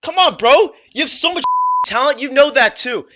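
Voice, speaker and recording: A man's voice: very high-pitched, lively intonation, moderate pace, pushed and bright. A man talking heatedly and emotionally to his brother in a played clip, with bleeped swearing. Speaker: pro gamer in a clip. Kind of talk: emotional vlog rant.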